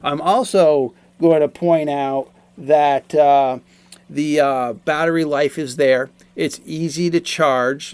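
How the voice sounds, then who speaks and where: Middle-aged man, slightly high-pitched, casually explaining. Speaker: product reviewer. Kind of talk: casual explanatory product review.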